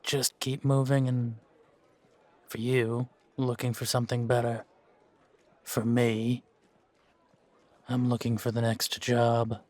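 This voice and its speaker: Slow-talking, world-weary monster hunter for fantasy audio roleplay, male actor, flat delivery, laconic and musing. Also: mid-range voice, no room sound, natural voice. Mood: relaxed, musing. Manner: laconic, musing, measured with pauses. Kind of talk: fan-made roleplay audio drama, unhurried monologue to a companion.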